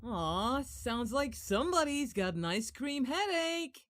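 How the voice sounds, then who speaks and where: A man's voice: extremely high pitch, sing-song, slow, fairly bright tone, shouting, heavily acted. A man voicing a naive, good-natured martial-arts hero in a cartoon, guileless and earnest. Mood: clueless, teasing. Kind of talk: cartoon voice acting.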